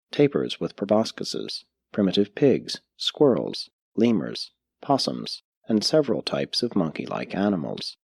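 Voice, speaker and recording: A man reading a book aloud as an audiobook narrator, in a measured, steady way with pauses between listed items. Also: lowish voice, creaky, breathy edge, no room sound, studio quality.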